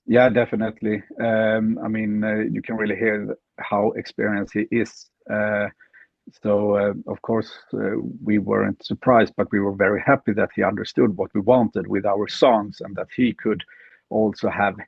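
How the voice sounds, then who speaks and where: Hesitant gravelly Swedish guitarist. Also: male voice, lowish voice, level tone, normal speed, no room sound. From Sweden. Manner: hesitant, many uhs and ums. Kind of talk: casual interview chat. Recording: dull, dry lo-fi sound.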